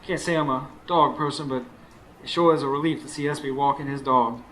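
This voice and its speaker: A man's voice: medium pitch, steady tone, a little fast, fairly bright tone, natural voice. A man acting as a weary, anxious working husband in a radio drama. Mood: weary, musing. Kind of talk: radio drama acting with first-person narration. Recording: faint background noise, live stage.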